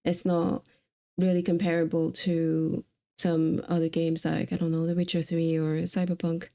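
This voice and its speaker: A woman's voice: low-pitched, completely flat delivery, moderate pace, warm tone. A woman streamer chatting casually about video games in a conversational, easygoing way. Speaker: streamer host.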